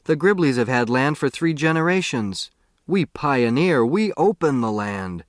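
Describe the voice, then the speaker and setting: A man's voice: medium pitch, lively intonation, a little slow, no echo, studio quality, pitched above the performer's own voice, close to an everyday voice. A man voicing a proud, stubborn father with an emphatic, declamatory manner in an audiobook story. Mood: indignant, proud.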